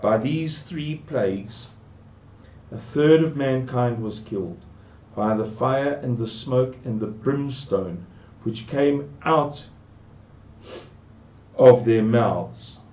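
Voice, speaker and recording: An older South African man teaches from scripture at a very slow pace. His voice sits in a middling register, and he muses aloud, circling back and repeating words for emphasis. The sound has a roomy echo. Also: mid-range voice, darkish tone. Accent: South African English. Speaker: Bible teacher. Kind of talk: unhurried scripture commentary. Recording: echoey room.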